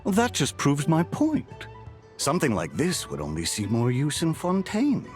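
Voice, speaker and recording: A man playing a haggling customer who protests in a drawn-out manner in a video game. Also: fairly high pitch, wildly swooping pitch, faint music behind. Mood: persuasive. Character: haggling, grumbling male customer.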